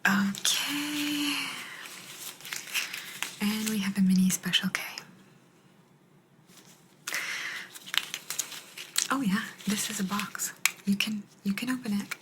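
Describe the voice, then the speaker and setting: A woman playing a gentle, patient alien abductor in a soft, breathy ASMR whisper, confiding closely in the listener. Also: medium pitch, unhurried, long pauses, heavy background noise, close to an everyday voice. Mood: soft, coaxing. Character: gentle, apologetic female alien abductor explaining a simulation.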